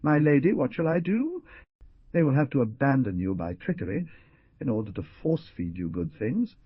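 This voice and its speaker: A man's voice: medium pitch, big pitch swings, normal speed, slightly rough, no room sound, pitched above the performer's own voice. A man voicing a desperate older peasant mother who pleads tearfully, in a dull lo-fi recording. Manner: tearful, imploring.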